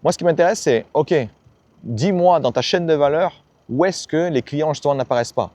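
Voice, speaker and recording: A man speaking at a rapid clip, blunt and slangy, giving a casual motivational talk. His voice is mellow and dark in tone. The recording is dry and close, with no room sound. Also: on the high side, no room sound. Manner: fast, blunt, slangy, acts out quoted people. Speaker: self-help YouTuber.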